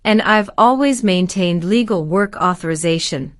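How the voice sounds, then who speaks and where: A woman in her forties from Syria, giving polite, formal, measured answers as a citizenship applicant. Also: lowish voice, no echo, clean studio sound. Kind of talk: scripted interview answers.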